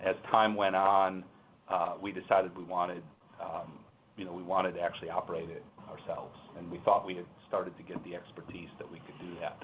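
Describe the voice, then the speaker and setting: A man with a very deep voice gives a relaxed, meandering account on a seminar panel, filling pauses with ums. The sound is narrow and phone-like, with a faint hiss behind it. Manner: relaxed, thinking aloud with many ums. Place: meeting room. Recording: muffled phone-like conference recording.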